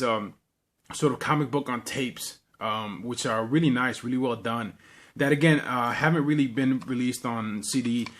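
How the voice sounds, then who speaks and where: A man gives a casual, unscripted show-and-tell in a medium-pitched voice. He talks in a halting, rambling way, often repeating words as he goes. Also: a bit bright. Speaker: vlogger.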